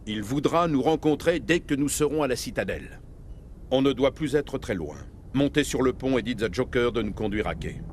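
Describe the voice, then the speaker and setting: An actor playing a grave, middle-aged military starship captain, speaking with measured authority in video game dialogue. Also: male voice, medium pitch, expressive, a little mellow, slight background noise, close to an everyday voice. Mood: urgent, grave.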